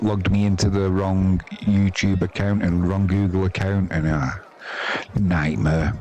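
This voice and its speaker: Deep-voiced English man, chatty sports streamer. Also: darkish tone. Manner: chatty, self-deprecating, colloquial. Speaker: host and cricket commentator on a live stream. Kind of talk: informal sports commentary and chat to viewers. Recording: crowd noise behind the voice.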